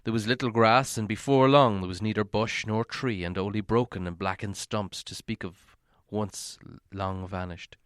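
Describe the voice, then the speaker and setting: A man reading a story aloud as narration, in a measured, dramatic storytelling manner. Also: lowish voice, steady tone, smooth, dry recording, studio quality. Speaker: audiobook reader.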